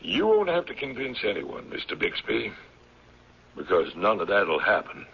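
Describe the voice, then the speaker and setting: A commanding old patriarch in a radio play, low and gravelly. He is grave and deliberate, with an ominous authority. The sound is that of a dull vintage broadcast. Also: big pitch swings, heavily acted. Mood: measured, knowing. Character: stern, commanding old patriarch, captain of a secluded mountain community. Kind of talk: old-time radio drama acting.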